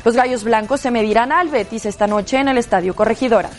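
A woman, a sports news anchor reading headlines in a measured, scripted way. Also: fairly high pitch.

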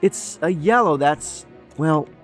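A man's voice: a little high, animated, takes it slowly, faint music behind. A man playing a quirky, tactless federal agent in an audio drama, talking in a rambling, pedantic, matter-of-fact way. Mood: musing, chatty.

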